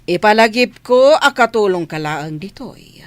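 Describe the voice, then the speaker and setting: Woman acting a slow, haughty mansion mistress. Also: mid-range voice, expressive, lightly acted. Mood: angry, menacing. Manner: imperious, menacing, deliberate. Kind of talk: radio drama acting.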